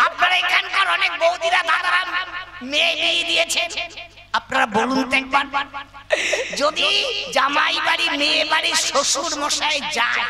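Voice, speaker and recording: Man playing an indignant, shrill puppet son-in-law. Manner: exclaiming, repeats phrases, appeals to audience. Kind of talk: comic Bengali folk puppet theatre. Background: loud music.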